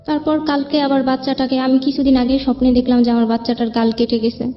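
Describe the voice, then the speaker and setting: A young Bangladeshi woman recounts her own troubles as a show guest in a plaintive, rambling way. Her voice is fairly high and carries a long reverb. Soft music plays underneath. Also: little pitch movement, fast, muffled recording. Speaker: guest telling own life story. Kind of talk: personal spoken account. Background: background music.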